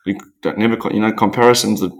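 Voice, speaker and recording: A man talks in a fairly low voice, telling stories in an animated, rambling way. He speaks candidly as a podcast guest and acts out quotes as he goes. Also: rapid-fire. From South Africa. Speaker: podcast guest, professional surfer. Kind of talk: candid interview conversation.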